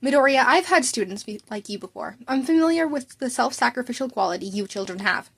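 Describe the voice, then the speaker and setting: A stern, world-weary male teacher, acted by a woman reading a story. He speaks in a deliberate, exasperated way, scolding with tired authority. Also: a little high, lively intonation, creaky, a bit bright, husky, full character voice. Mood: serious, worried.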